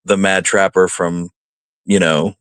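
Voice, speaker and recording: A man with a low voice chats as a radio co-host. His talk is plain and colloquial, in an easy conversational style. The recording is dry, without audible room. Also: flat delivery, slow, fairly bright tone, clean tone, no room sound. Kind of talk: casual chat.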